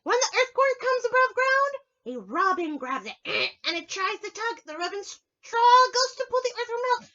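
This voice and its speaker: A woman teacher reads a picture book to preschoolers in a very high voice. Her pitch swoops widely as she stretches words and talks directly to the children. The sound is slightly dull and lo-fi. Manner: expressive, drawn-out storytelling, addressing children directly. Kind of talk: picture-book read-aloud for young children, with asides and questions.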